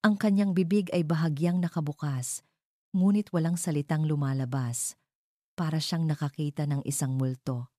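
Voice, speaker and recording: A woman narrating a dramatic scripted story in the first person, in measured, deliberate short phrases. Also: sits low, fairly even intonation, dark tone, no echo, studio quality.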